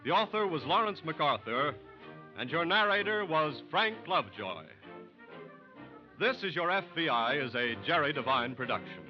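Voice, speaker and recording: Formal American 1940s radio announcer, muffled recording. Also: male voice, fairly high pitch, big pitch swings, normal speed, soft background music. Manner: formal, emphatic. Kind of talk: 1940s radio announcing, scripted sponsor message.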